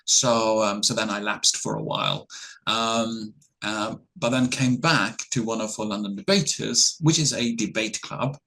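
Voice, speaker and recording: A man's voice: lowish voice, a little slow, bright, forceful tone, slight background noise, low-quality recording. Middle-aged guy speaking english, lots of ums and uhs, easygoing and a bit self-mocking. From Norway. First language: Norwegian. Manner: hesitant, many ums and uhs, chuckles, self-deprecating. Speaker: interview guest. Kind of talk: relaxed conversational answers.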